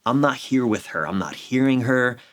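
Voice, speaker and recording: A middle-aged American man explaining his views in a candid interview, halting and repeating phrases for emphasis. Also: mid-range voice, animated, fairly bright tone. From United States. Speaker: relationship coach, podcast guest. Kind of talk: reflective conversational interview explanation.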